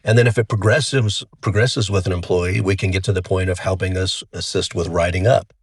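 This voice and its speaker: A middle-aged man with a cold that deepens his voice, chatting as the guest of an interview. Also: a little fast, husky. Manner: relaxed and chatty, frequent restarts. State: has a cold, voice deeper than usual. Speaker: funeral home CEO, guest. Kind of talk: casual interview talk.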